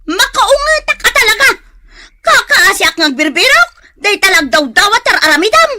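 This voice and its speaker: A frazzled Ilocano Filipino beautician in a comedy radio play. Her voice is extremely high and bright, whiny and full of exclamations, with the short temper of a nagging wife. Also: very animated, moderate pace, exaggerated voice. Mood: exasperated nagging. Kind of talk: comedic radio drama acting.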